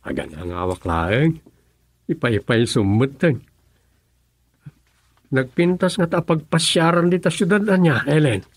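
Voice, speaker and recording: An actor playing an earnest, lovelorn young provincial farmer in an Ilocano radio drama, with an Ilocano accent. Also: male voice, fairly high pitch, expressive, a little slow, pauses often. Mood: wistful.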